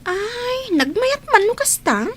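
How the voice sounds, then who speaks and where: Woman acting a high, animated Ilocano girlfriend. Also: takes it slowly, heavily acted. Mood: teasing, chatty. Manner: animated, exclaiming. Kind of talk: radio drama acting.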